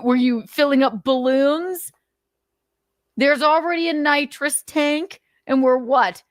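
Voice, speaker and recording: A woman's voice: medium pitch, no room sound, studio quality. A woman hosting a livestream, reading notes aloud and adding blunt, sweary, theatrical and sarcastic commentary. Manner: blunt, sweary, indignant asides. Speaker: livestream host.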